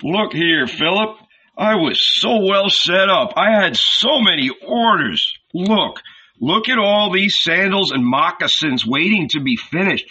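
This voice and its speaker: A man's voice: sits high, expressive, a little slow, pushed and bright, breathy edge, pitched above the performer's own voice, exaggerated voice. A man voicing a hesitant, big-bodied male cobbler, reluctant and bewildered. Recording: dull lo-fi recording.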